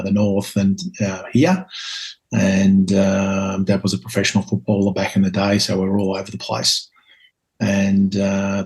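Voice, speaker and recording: A Scottish man in his fifties, a guest telling his life story in a reflective, hesitant way with many pauses and ums. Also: sits low, a little mellow, rough recording. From Scotland. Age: 50s.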